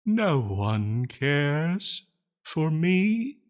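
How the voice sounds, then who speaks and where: A theatrical, lovestruck male supervillain, in an audio drama. He is needy, possessive and self-pitying, drawing words out slowly while his pitch swoops dramatically. Giggles and stammers break up his melodrama. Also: sing-song, exaggerated voice. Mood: bitter self-pity. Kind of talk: scripted roleplay audio drama.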